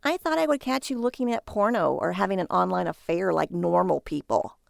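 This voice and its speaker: A woman's voice: fairly low pitch, expressive, brisk, creaky, no echo. A woman voicing an annoyed, sarcastic wife with a dry scolding manner in an audiobook story. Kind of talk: acted dialogue in audiobook short story.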